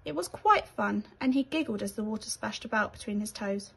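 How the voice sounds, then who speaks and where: A woman with a British accent reading a children's bedtime story aloud in an expressive storytelling style. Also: medium pitch, brisk, gravelly, slightly rough.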